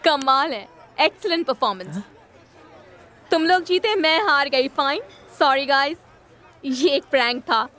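A woman's voice: sits high, lively intonation, measured pace, a bit bright, a faint crowd. An actress playing a cautious young café owner who worries about the risks her friends take. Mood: dry sarcastic. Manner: worried, pleading. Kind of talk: web series comedy acting.

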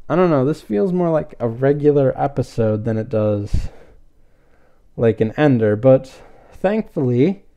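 A man's voice: mid-range voice, animated, unhurried, dark tone, lo-fi sound. A man hosting a podcast, casually reviewing an episode in a rambling, hesitant but enthusiastic way. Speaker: podcast host.

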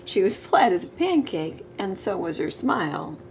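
A middle-aged woman reading a children's picture book aloud with expressive, emphasized storybook delivery. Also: medium pitch, normal speed. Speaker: storytime reader. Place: living room, indoors. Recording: clear background room noise.

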